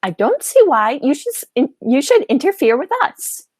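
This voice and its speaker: A woman's voice: high-pitched, sing-song, normal speed, slight background noise. A teenage girl playing a prim, stubborn elderly aunt, sweet but indignant, in a school radio play. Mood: sweetly indignant. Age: teens.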